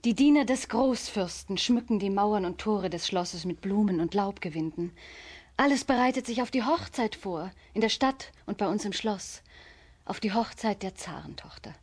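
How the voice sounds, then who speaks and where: A woman's voice: medium pitch, lively intonation, moderate pace, a little mellow, husky. An actress playing a tsar's young daughter in a children's radio play, proud and formal in her delivery. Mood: sad, resigned. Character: beautiful young tsar's daughter, patient but despairing, proud.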